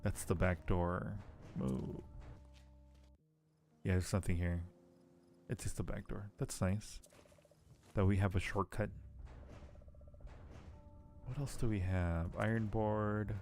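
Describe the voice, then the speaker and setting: A man streaming a game, reading the narration aloud and commenting casually in a low-key way as he thinks aloud. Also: steady tone, takes it slowly, long pauses, darkish tone, faint music behind. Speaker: live streamer playing a game.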